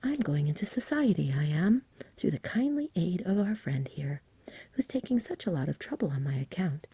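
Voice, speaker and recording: A woman voicing a genial, bored, old-fashioned male dragon from a storybook, in soft breathy whispers with chatty courtesy. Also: on the low side, big pitch swings, moderate pace, no echo, full character voice. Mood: chatty, courteous.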